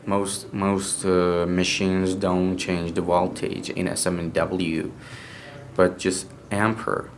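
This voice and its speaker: A young man from Iran with an Iranian accent, speaking English as a second language with a native Persian background, telling a personal story in a casual, halting way full of restarts. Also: low voice, level tone, a little hiss or hum. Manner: halting, hesitant English with many restarts and fillers. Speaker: podcast speaker.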